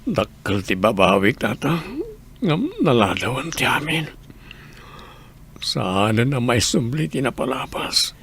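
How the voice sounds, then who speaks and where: A man playing a sick, remorseful adult son in an audio drama, with a halting, downcast, resigned manner. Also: medium pitch, big pitch swings, vocal fry, a bit bright, a little hiss or hum, exaggerated voice. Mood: weary regret. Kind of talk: dramatized story acting.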